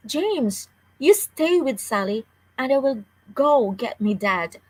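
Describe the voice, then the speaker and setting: A woman's voice: high-pitched, expressive, slow pace, heavily acted, rough recording. A woman voicing an urgent, protective young boy in a children's story, calling out to a friend. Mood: reassuring. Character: young boy, excited and protective older-brother type.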